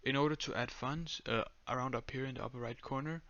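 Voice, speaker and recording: A young man with a Danish accent giving a step-by-step screen-recorded tutorial in a flat, monotone voice full of "uh"s and "um"s. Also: mid-range voice, fast talker, no echo, muffled recording. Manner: monotone, many fillers. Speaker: tutorial creator.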